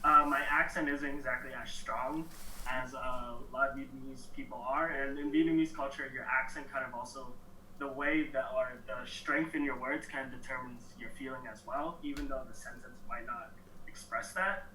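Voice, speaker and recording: A young man from New York answering interview questions in a relaxed, halting way, with soft laughs between thoughts. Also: mid-range voice, a little hiss or hum. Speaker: interview guest. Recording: echoey room, mic at a distance.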